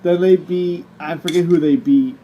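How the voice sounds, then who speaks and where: A young man co-hosting a casual chat show, relaxed and laughing along. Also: a little high, normal speed, dull audio, a little hiss or hum. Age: young adult. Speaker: co-host.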